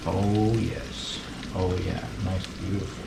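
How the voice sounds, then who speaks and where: A man from the United States hosting a relaxed, chatty cooking tutorial in his kitchen. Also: deep voice, slow pace, gravelly, heavy background noise. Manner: relaxed, chatty, fond of saying beautiful. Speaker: cooking channel host. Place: kitchen with food frying on the stove. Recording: roomy sound, mic set at a distance, sizzling pan.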